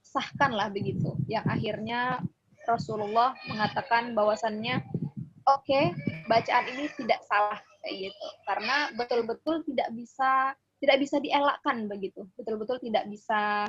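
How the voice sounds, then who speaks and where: A woman with a husky voice, teaching informally as a religious teacher and often checking that she is followed. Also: fairly high pitch, normal speed, fairly bright tone, a little hiss or hum. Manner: conversational, often checking for agreement. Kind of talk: informal explanatory online lesson. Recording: dull lo-fi audio.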